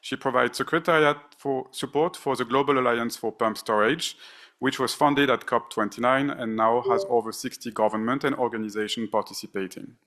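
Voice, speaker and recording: A man's voice: mid-range voice, fairly even intonation, brisk, a bit bright. Man moderating a conference panel. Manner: reads panelist bios with frequent fillers. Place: conference stage.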